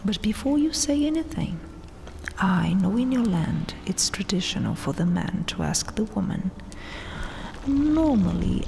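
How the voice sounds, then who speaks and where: A woman voicing a haughty, gloating vampire queen in a breathy, taunting whisper for an ASMR roleplay. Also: medium pitch. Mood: coy, insistent. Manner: taunting, mock-sweet, haughty, drawn-out delivery. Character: domineering, gloating vampire queen, regal conqueror. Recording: long cavernous reverb, faint hiss. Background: dark tomb ambience.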